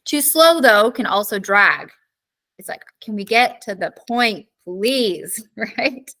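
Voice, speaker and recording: A woman teacher casually recapping a lesson, chatty, with fillers and quick asides. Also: a little high, animated, sharp tone.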